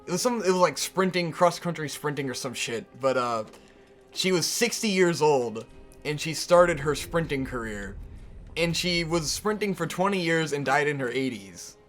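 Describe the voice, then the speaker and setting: A man in his twenties chatting casually as co-host, animated and laughing easily, swearing for emphasis. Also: on the high side, normal speed, faint music behind. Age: 20s. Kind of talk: casual chat.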